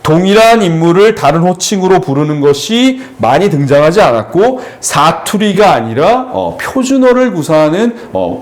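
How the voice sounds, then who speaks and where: A man's voice: a little high, darkish tone. A middle-aged man tutoring exam literature, lecturing at a seminar in an explanatory way with frequent fillers and restarts.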